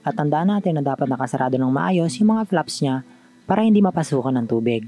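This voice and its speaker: A man's voice: fairly high pitch, animated, fast, mellow, faint music behind. A man with a Filipino accent giving a conversational, scripted voice-over as a tech review vlogger.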